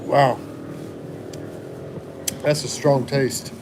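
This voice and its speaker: A man in his fifties talks slowly and unhurriedly, hosting a casual livestream from his phone. He reads viewer comments out loud and softens his points with "I think" and "like". Loud traffic noise fills the background. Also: mid-range voice, steady tone. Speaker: livestream host.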